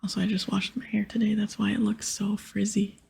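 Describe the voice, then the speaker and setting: Woman, very breathy whisper, crackly fry, low soft tone, close-miked ASMR gaming commentary, gentle and casual. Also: level tone, brisk. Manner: gentle, hushed, casual rambling. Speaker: ASMR gaming video creator. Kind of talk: ASMR whispered gameplay commentary with quest dialogue read aloud.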